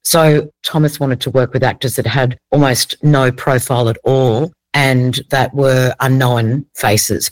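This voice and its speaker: A woman's voice: rumbling low, no echo. A woman with an Australian accent, talking conversationally as a casting director being interviewed.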